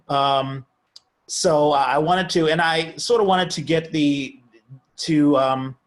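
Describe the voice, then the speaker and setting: A man speaking informally and a little haltingly as a playwright at an online talk-back. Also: medium pitch. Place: indoors on a video call.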